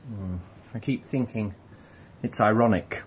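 An actor playing a nervous, moralising schoolteacher in a radio drama, hesitant and stammering. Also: male voice, lowish voice, measured pace, a little hiss or hum, close to an everyday voice. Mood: uneasy. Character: Lawrence, nervous, moralising conscientious objector tutor.

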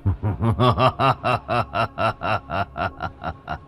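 A man's voice: sits low, wildly swooping pitch, measured pace, fairly bright tone, husky, faint music behind, full character voice. A man voicing a vengeful, murderous son in a horror story, hissing and taunting with eerie menace. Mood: gleeful menace. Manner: taunting, threatening.

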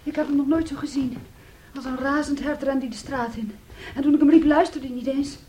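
An actress in a Dutch radio play playing a bitter, possessive, jealous sister with an intense, accusing manner. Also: female voice, sits high, steady tone, a little fast, mellow, natural voice. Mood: urgent. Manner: intense, accusing, shifting between whispers and outbursts. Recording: noisy old radio play recording.

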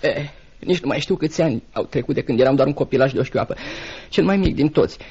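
An actor playing a timid, downtrodden adolescent boy in a radio drama, husky and plaintive, pleading as he speaks. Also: mid-range voice, lively intonation, a little mellow. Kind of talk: theatrical radio drama acting. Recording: dull lo-fi radio play recording.

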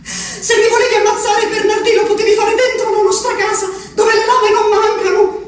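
An actress playing a desperate, furious asylum inmate in a theatrical radio drama monologue, intense, accusing and pleading. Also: female voice, very high voice, flat delivery, moderate pace, slight background noise. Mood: anguished, accusing. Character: desperate, furious woman locked in an asylum, writing letters. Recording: very echoey, distant, music behind.

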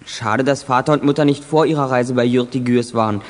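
A man's voice: medium pitch, brisk, natural voice. A young male actor playing a plucky, polite schoolboy in a children's radio play, speaking earnestly and eagerly. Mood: apologetic, chatty. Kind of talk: 1980s German children's radio play acting.

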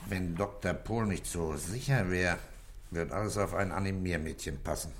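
Man acting calm police commissioner in a radio drama, really low voice, terse, bit of noise on it. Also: moderate pace, close to an everyday voice. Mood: pondering, methodical. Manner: terse questioning and quiet orders. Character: calm, methodical male police commissioner leading a murder case. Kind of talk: German radio play acting.

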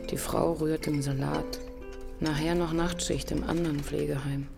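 An actress playing a sardonic, deadpan narrator in a radio drama, speaking in terse literary phrases. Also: female voice, low voice, moderate pace, gravelly, over music.

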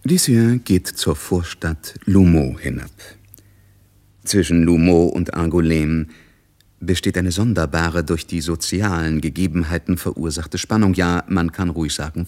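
A man with a low voice narrates a literary radio drama. His delivery is measured and scripted, in the manner of a storyteller. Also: darkish tone.

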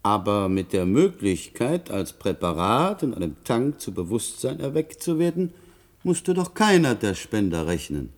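Lively-pitched male investigator. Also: unhurried. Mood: probing, reproachful. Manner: formal, insistent, pointed. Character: probing, formal male investigator questioning a doctor. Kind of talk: German science-fiction radio drama acting.

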